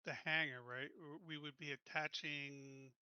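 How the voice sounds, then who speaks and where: A man's voice: fairly high pitch, steady tone, a little slow, pushed and bright, smooth, no room sound, lo-fi sound. A man playing a tabletop roleplaying game, casually talking through plans and questions. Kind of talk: casual game planning talk.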